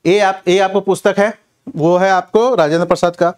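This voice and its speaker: A man's voice: high voice, fairly even intonation, normal speed. A man giving a question-and-answer revision lecture as an exam coaching teacher, instructive and often repeating check phrases.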